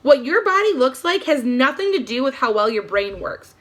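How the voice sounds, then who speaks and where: A woman's voice: sits high, sing-song, moderate pace, a bit bright, pitched above the performer's own voice, heavily acted. A woman voicing a loyal young female classroom helper in a storybook, firm, earnest and defiant. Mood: indignant.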